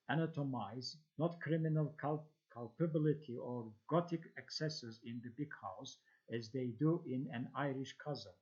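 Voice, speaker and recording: A man reading a scholarly text aloud as a lecture, halting and restarting on long words. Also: mid-range voice, a little mellow. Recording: dull lo-fi audio.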